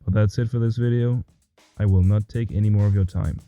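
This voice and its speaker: A man's voice: lowish voice, steady tone, fairly quick, mellow, no room sound. A young man with a Danish accent narrates his cycling trip in a scripted voice-over, with a dry, self-mocking humor. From Denmark. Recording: dull, dry voice-over with faint music.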